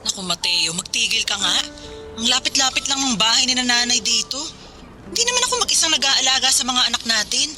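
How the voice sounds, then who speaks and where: A woman's voice: on the high side, animated, fast talker. An actress playing a stern, practical Filipino seaman's wife in a radio drama, firm and exasperated with her husband. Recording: loud music under the voice.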